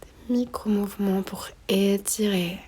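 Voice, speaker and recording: A woman guiding a slow meditation in a soft, breathy near-whisper, gently giving unhurried instructions with long pauses. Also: medium pitch, fairly even intonation. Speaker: yoga nidra guide.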